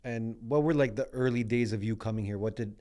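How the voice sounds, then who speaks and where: A man, hosting a podcast and asking relaxed, casual questions. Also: medium pitch, brisk. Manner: relaxed, short prompts and acknowledgments. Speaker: podcast host.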